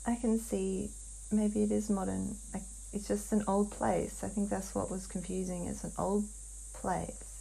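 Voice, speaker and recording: Very slow, hushed, husky woman in trance. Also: medium pitch, flat delivery, low-quality recording. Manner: halting, hushed, with long pauses and sighs. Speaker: client in a guided hypnosis session. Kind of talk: slow dreamlike narration of a trance vision.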